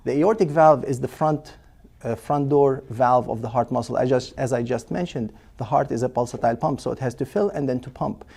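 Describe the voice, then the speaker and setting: A man's voice: medium pitch, brisk, creaky, warm tone, breathy edge. A man, a cardiologist patiently explaining in an interview, with frequent fillers and restarts. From Lebanon. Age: 40s. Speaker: cardiologist guest.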